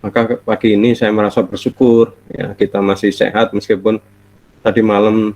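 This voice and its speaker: A man's voice: fairly low pitch, flat delivery, darkish tone. A middle-aged man gives a friendly, chatty online university lecture from home, his voice nasal and stuffy from a cold. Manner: friendly, chatty, laughs often, drawn-out fillers. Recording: dull lo-fi online call audio.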